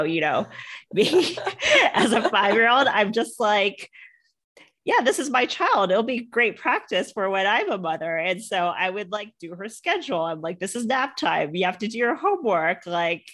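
A woman's voice: medium pitch, sing-song, moderate pace, a bit bright. A woman in her thirties from Toronto and Hong Kong, an entrepreneur chatting through her career story with frequent ums and light laughs. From Canada and Hong Kong. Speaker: entrepreneur guest. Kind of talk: casual interview storytelling.

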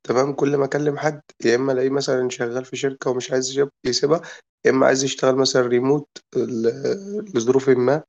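Egyptian man with a cold, gravelly voice. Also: mid-range voice, a little mellow, lo-fi sound. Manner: explains at length, keeps checking in with listeners. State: has a cold, voice worn. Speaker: product designer in a group chat. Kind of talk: casual discussion.

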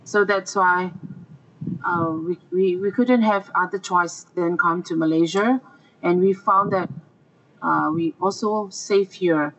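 A speaker from Myanmar, a refugee teacher, answering interview questions hesitantly while searching for words. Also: male voice, high voice, fairly even intonation, takes it slowly, a bit bright, highs cut off.

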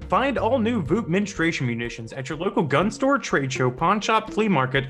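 A man reading a parody commercial in a salesy pitchman style. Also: very animated, moderate pace, a bit bright, faint music behind. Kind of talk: mock commercial announcer.

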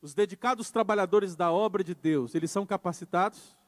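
A man pastor preaching a sermon with emphasis, moving between quiet passages and loud insistent ones. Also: high voice, a little fast. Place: church. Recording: church hall with long reverb.

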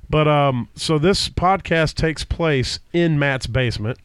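A man's voice: fairly high pitch, slow, clear, resonant tone, dry recording. A man with a slightly stuffy nose from a cold, hosting a casual podcast from a home basement, rambling with many fillers and restarts. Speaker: podcast host. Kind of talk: casual chat. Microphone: podcast microphone.

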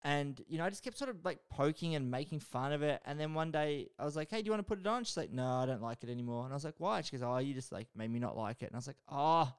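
A man's voice: on the high side, lively intonation, fast talker, few pauses, clean tone. A man co-hosting a podcast in casual chat, telling stories in a sheepish, self-deprecating way. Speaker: podcast co-host.

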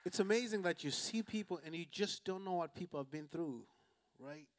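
A man's voice: sits high, brisk, a little hiss or hum. A man who is a pastor, calling out to the congregation and interviewing a guest in church. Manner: energetic, call-and-response preaching.

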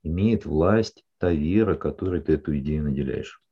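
A male psychologist calmly explaining ideas to a client in a session, often checking in with short questions. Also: very deep voice, moderate pace, breathy edge, muffled recording. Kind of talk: counseling session.

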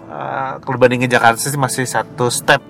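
Chatty middle-aged man, animated storyteller. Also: medium pitch, moderate pace, gravelly, faint music behind. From West Java, Indonesia. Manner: animated storyteller, laughs often and acts out quoted speech. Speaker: podcast co-host. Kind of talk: casual chat.

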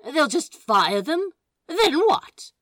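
A woman's voice: high voice, very animated, takes it slowly, fairly bright tone, dry recording, clean studio sound, exaggerated voice. A woman playing a sardonic, sentimental male demon with a loose drawl in an acted audio story. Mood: drunk, excitable. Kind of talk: audio fan fiction character acting.

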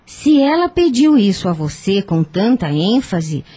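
Mellow, wise spiritual counsellor. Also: female voice, mid-range voice, very animated, slightly rough. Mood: grave, explanatory. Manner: measured, didactic, gentle. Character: calm, wise female spiritist counsellor Dona Catarina. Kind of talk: radio drama acting.

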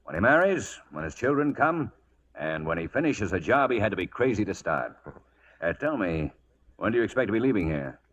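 A chatty cattle buyer, very lively pitch, emphatic, old time radio drama. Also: male voice, brisk, lo-fi sound. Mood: genial, concerned. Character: genial, businesslike eastern cattle buyer. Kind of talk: 1940s radio acting.

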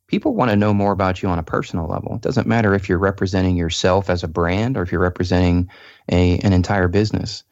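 A man's voice: deep voice, steady tone, fast, gravelly, darkish tone, no room sound, muffled recording. A man, a podcast guest, talking earnestly and explaining his points with plenty of "you know"s. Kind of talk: casual podcast chat.

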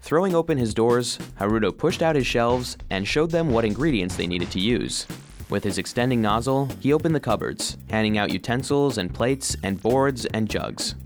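A man's voice: medium pitch, lively intonation, moderate pace, faint music behind, natural voice. A man playing an earnest, loyal little robot vacuum cleaner who narrates a children's audio story. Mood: earnest, storytelling. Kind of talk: scripted first-person children's story narration.

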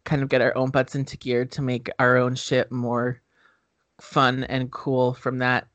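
A man co-hosting a casual podcast, talking in an expressive, animated way and laughing often. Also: medium pitch, unhurried, no room sound, dull audio. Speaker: podcast co-host. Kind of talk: casual chat. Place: indoors.

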